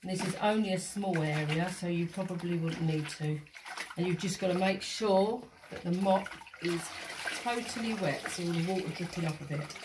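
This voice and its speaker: A woman's voice: fairly low pitch, normal speed. A middle-aged woman with an English accent, a teacher patiently explaining a household task step by step, filmed on a phone in her home kitchen and hallway. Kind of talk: instructional home demonstration. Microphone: handheld phone filming. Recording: echoey room, noisy phone audio.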